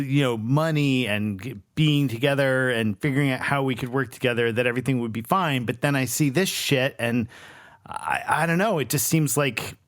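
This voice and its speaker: Halting, exasperated man playing tabletop roleplay. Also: mid-range voice, no room sound, clean studio sound. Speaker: roleplay game player. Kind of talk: improvised in-character tabletop talk.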